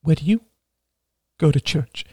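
A man's voice: on the high side, measured pace, mellow, breathy edge, dry recording, studio quality, voice pushed up. A man voicing an anxious but defiant young woman reporter in an audiobook, pleading and protesting. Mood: timid, vulnerable.

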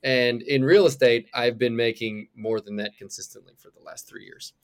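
Brief: young male guest, lively and chatty, mid-range voice, relaxed podcast conversation. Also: mid-range voice, moderate pace, clear, resonant tone. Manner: animated, laughing, acts out quoted reactions. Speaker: guest, former singer turned realtor. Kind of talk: casual interview conversation.

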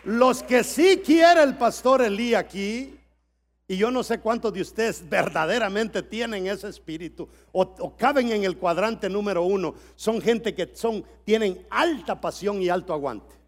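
High-voiced Salvadoran preacher. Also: male voice, lively intonation, unhurried. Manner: moves from quiet storytelling to shouted, rising exhortation. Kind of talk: sermon at a church conference.

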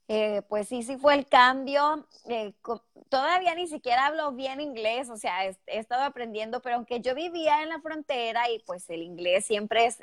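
A woman with a pronounced northern Mexican accent, speaking fairly high and lively as she tells her story. The sound is dry and close, with little room around it, coming through a headset on a video call. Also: no echo. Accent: northern Mexican Spanish. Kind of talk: casual interview over a video call. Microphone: headphones with mic on a call.

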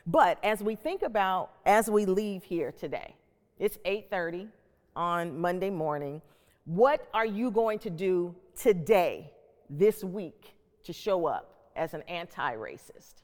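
A middle-aged woman moderating a reflective discussion, speaking deliberately and emphatically with repeated phrases. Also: fairly low pitch, expressive, a little mellow. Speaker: host and panel moderator. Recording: echoey hall with long reverb.

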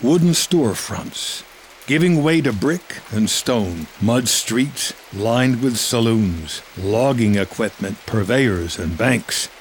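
A man's voice: medium pitch, animated, takes it slowly, a little hiss or hum, poor audio. A man narrating a film script in a scripted storytelling style.

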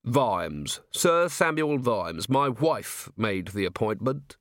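A man's voice: medium pitch, sing-song, slow pace, fairly bright tone, no echo, heavily acted. A man voicing a dry, somewhat awkward city watch commander in an audiobook. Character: dry, blunt middle-aged male city watch commander.

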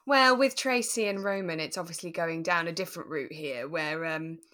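A woman co-hosting a podcast in relaxed, casual chat, talking in a chatty, thoughtful way with plenty of qualifying. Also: on the low side, moderate pace. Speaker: podcast co-host. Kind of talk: relaxed casual chat reviewing a radio drama.

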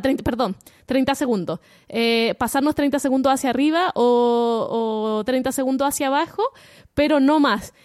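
High-voiced Chilean woman storyteller. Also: a little mellow, no room sound, clean studio sound. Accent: Chilean Spanish. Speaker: storyteller guest. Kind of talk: casual panel conversation.

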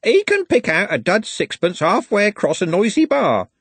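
A man voicing a talkative elderly gentleman telling an anecdote in a raised, eager voice. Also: high voice, wildly swooping pitch, moderate pace, slightly rough, no room sound, voice pushed up, full character voice. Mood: enthusiastic. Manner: enthusiastic storytelling.

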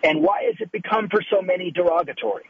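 A male talk show host with a high, very lively voice. He sets up long questions, backing up and restarting as he goes. The voice is raspy and gravelly, heard over a thin phone line. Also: quick pace, no echo. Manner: restarting sentences while framing questions. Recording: tinny phone-line sound.

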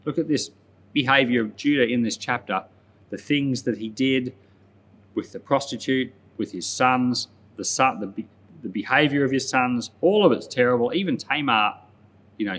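A man speaking as a pastor, reading and explaining scripture aloud in a measured, plain-spoken way. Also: mid-range voice, fairly bright tone, slight background noise. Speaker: pastor, Bible podcast host.

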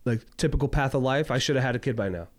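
A man's voice: mid-range voice, racing. A man in his twenties co-hosting a casual podcast chat, rambling through stories with lots of "like" fillers. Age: 20s. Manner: rambling, heavy use of "like" fillers. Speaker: podcast co-host.

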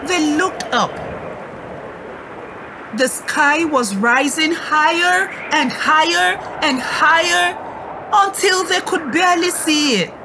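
A woman teaching a read-aloud lesson to children in an expressive, encouraging, deliberate way. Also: high voice, noisy background.

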